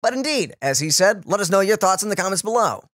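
Lively young man rambling casually. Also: high voice, quick pace, dry recording, clean studio sound. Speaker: reaction channel co-host. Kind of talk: casual chat. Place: small home room, indoors. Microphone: boom-arm studio microphone, close.

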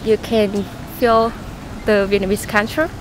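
A young woman student gives casual recommendations in a halting way, searching for her words. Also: medium pitch, steady tone. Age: young adult. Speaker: university student, interviewee. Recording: outdoor street noise with traffic.

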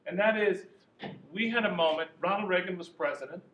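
A man in his sixties giving an informal talk to an audience in a university classroom, conversational and animated, with some restarts. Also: high-pitched, a little fast. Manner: conversational, gestures while talking, some restarts. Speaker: guest speaker, lobbyist. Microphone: far camera, no mic. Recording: echoey classroom from far off, faint noise.

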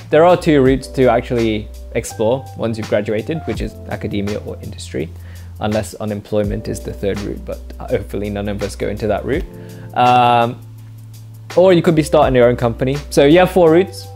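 A young man from England in his twenties, talking straight to camera from his living-room sofa. He rambles through viewer questions in a relaxed, filler-heavy way, tossing in dry little jokes, with faint music playing underneath. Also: medium pitch. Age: 20s. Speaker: YouTuber answering viewer questions. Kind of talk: casual Q&A monologue. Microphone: mic off-camera, seated facing camera.

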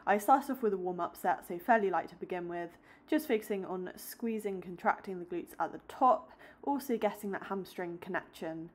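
A young woman vlogging about her day and workouts, chatting upbeat to a close phone camera, then calmly explaining each exercise in a voice-over. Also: mid-range voice, normal speed. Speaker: fitness vlogger. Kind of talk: casual vlog chat and workout voice-over.

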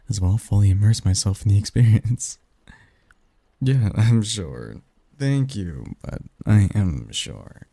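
A man playing a snarky young research assistant who fondly teases a friend in a halting, wordy, intimate ASMR roleplay monologue. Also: lowish voice, gravelly, warm tone, dry recording, exaggerated voice. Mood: wry, casual. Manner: halting, sighing, teasing, wordy.

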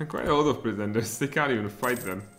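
A man in his thirties with a Czech accent, streaming a strategy game and thinking aloud, with sudden excited outbursts. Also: mid-range voice, fairly quick. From Czech Republic. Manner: mutters thoughts aloud, then bursts out excitedly. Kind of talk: live strategy game commentary. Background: faint music and keyboard typing.

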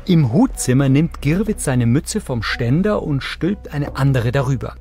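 A man's voice: medium pitch, animated, a little slow, dark tone, faint music behind. A man reading scripted audio description narration for a film.

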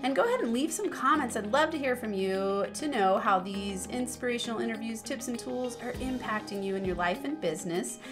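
A woman's voice: a little high, lively intonation, a little fast, few pauses. Upbeat female podcast host over music. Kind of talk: scripted outro.

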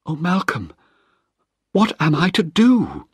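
A man voicing a distressed young woman in an audiobook, high and pleading, frightened yet defiant. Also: wildly swooping pitch, measured pace, slightly rough, no room sound, studio quality, exaggerated voice. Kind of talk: audiobook character voice.

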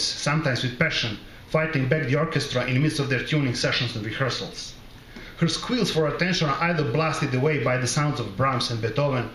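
A middle-aged man from the former Yugoslavia reading his literary short story aloud at a microphone, in a measured, deliberate and wry way. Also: mid-range voice, fairly bright tone. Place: small bar with brick wall, indoors. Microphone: close microphone on a stand. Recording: roomy live recording, background noise.

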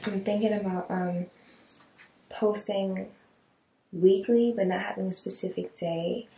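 A woman's voice: mid-range voice, fairly even intonation, measured pace, creaky, warm tone, recorded from a distance. A young woman vlogging casually to a handheld camera late at night in a small bathroom, chatty and rambling with slang. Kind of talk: casual vlog talk to camera. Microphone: handheld camera close to the face. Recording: echoey bathroom, faint hiss.

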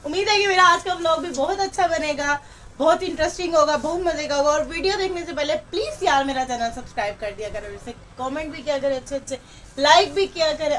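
A woman's voice: sits high, a little hiss or hum. A woman in her thirties chatting to the camera in a home vlog, filmed on a phone at arm's length in a small room. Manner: chatty, talks straight to camera. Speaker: vlogger. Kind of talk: casual family vlog chat. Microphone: phone held at arm's length.

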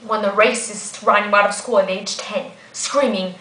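An ancient guardian angel, acted out by a woman in a theatrical stage monologue. She is grave and weary yet resolute, speaking in a mid-pitched voice with deliberate pauses. The room rings with clear echo. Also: fairly even intonation, bright, forceful tone, lightly acted. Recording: echoey room.

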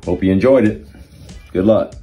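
A middle-aged man giving step-by-step instruction in measured, short phrases with pauses. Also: low voice, highs cut off, faint music behind. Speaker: expert sailor demonstrating.

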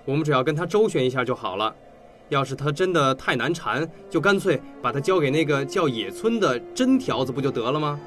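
An actor playing a cynical teenage high school boy in a radio drama, mocking and flippant. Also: expressive, brisk, a bit bright, soft background music, natural voice. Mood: flippant, scornful.

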